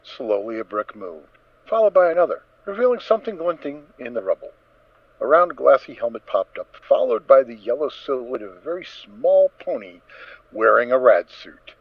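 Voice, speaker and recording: A man narrating a scripted story dramatically, with measured, emphatic phrasing. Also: medium pitch, big pitch swings, vocal fry, dry recording. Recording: dull, dry lo-fi recording.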